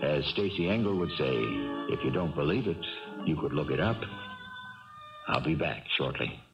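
A man hosting a 1970s radio drama, narrating in a measured, literary storyteller's manner. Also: rumbling low, animated. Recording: muffled old radio broadcast, loud music.

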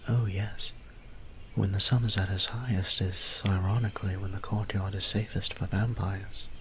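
A man playing a gentle, doting young vampire priest in an ASMR roleplay, speaking softly and half-whispering in polite, old-fashioned phrases. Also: low voice, moderate pace. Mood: apologetic, gentle. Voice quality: soft, breathy, half-whispered. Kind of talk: soft-spoken ASMR roleplay. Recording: steady background ambience noise.

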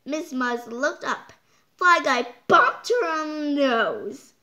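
A child reading a picture book aloud, halting and stumbling over words, with exclaimed side comments. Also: high voice, animated, bright, forceful tone. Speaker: storytime reader. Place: indoors, hands holding book before the camera.

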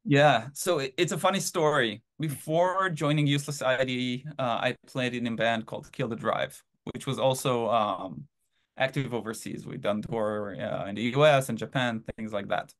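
A man's voice: mid-range voice, normal speed. A man in his thirties from Israel, telling his story in a casual interview over a video call from his home, rambling with lots of fillers. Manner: rambling, animated anecdotes with many fillers. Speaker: band drummer, guest. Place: home room.

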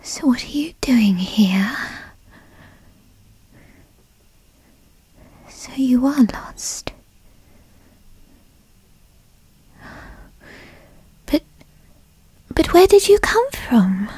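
A high, bright voice of a young fox spirit, played by a woman, skittish and bratty. She speaks slowly in halting, breathy stammers, as in an intimate ASMR roleplay. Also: sing-song, dry recording, full character voice. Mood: startled, suspicious. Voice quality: breathy, often whispery. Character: young bratty female fox spirit, timid but defensive. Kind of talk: ASMR roleplay, scripted character acting.

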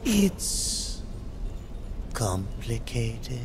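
A man's voice: mid-range voice, very animated, drawn-out words, a little hiss or hum. A man voicing a sly, hungry demon merchant in a video game, with an oily, theatrical, insinuating manner. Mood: scheming.

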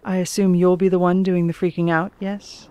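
A woman's voice: on the low side, level tone, normal speed, dark tone, clean tone, lightly acted. A woman reading an audiobook as a composed, wry author character who narrates and debates. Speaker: audiobook reader.

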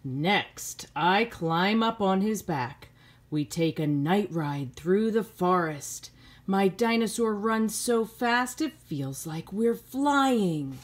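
A woman's voice: mid-range voice, animated, slow pace. A middle-aged woman reading a children's picture book aloud expressively, with simple phrasing for young listeners.

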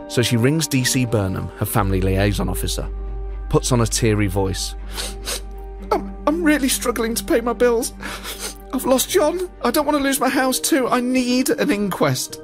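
A man narrating a true-crime story as podcast host, with dry, wry asides. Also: medium pitch. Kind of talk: scripted storytelling narration with banter.

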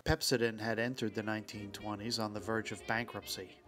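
A male host reads scripted documentary narration. His voice is fairly low, and music plays clearly behind him. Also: little pitch movement, a little fast.

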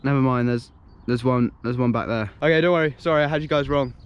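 A man's voice: medium pitch, fast, smooth, lo-fi sound. A young man in his twenties, vlogging outdoors in open fields, joking and playful as he talks straight to a handheld camera at arm's length. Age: 20s. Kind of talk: casual vlog chat to camera. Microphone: handheld action camera at arm's length.

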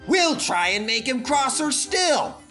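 A man's voice: very high-pitched, big pitch swings, slow pace, bright, forceful tone, soft background music, voice pushed up, full character voice. A man voicing a spiteful, self-important railway brake van in a children's story.

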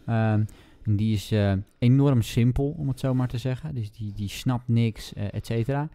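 A man chatting casually about books as a podcast host, speaking haltingly and hedging as he thinks aloud. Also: medium pitch, warm tone. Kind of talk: casual book discussion.

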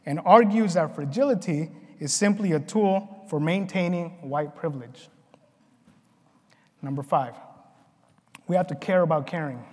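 A man with a notably high-pitched voice gives a prepared keynote address. His delivery is earnest and deliberate, set out in measured phrases. The sound carries the long reverb of a large conference hall. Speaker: keynote speaker. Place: large conference ballroom, on stage. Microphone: lapel mic at a podium.

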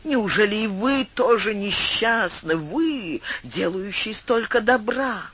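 Man playing a plaintive, humble fisherman, lively pitch. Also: takes it slowly, slight background noise, heavily acted. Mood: puzzled. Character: poor despairing fisherman, humble, naive and grateful.

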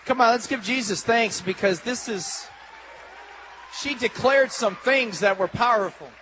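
A man's voice: extremely high pitch, unhurried, a bit bright, a faint crowd. Man, exuberant church emcee, handheld mic, faint hum, echoing sanctuary. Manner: exuberant, rousing the congregation. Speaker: church leader hosting the service. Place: large church sanctuary stage. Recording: lo-fi camcorder recording in a reverberant hall.

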